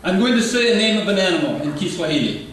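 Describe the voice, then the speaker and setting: A man preaching a sermon in short, playful phrases, pausing for an interpreter. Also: high voice, big pitch swings, a bit bright, slight background noise. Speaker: guest preacher. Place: church sanctuary. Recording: echoey church hall from far off.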